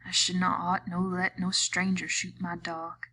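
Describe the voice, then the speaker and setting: An old ranch worker speaks low and hushed, full of regret, as a woman reader voices him. Faint noise beneath. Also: completely flat delivery, unhurried, low-quality recording. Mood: regretful.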